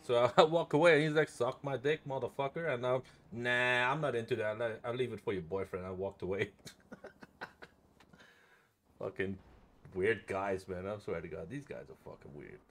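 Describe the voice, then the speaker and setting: A man's voice: mid-range voice, normal speed. A man streaming an online role-play game, chatting casually and telling stories with lots of swearing and repeated phrases. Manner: profane, chuckling, repeats himself. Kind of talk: casual in-game chat and storytelling.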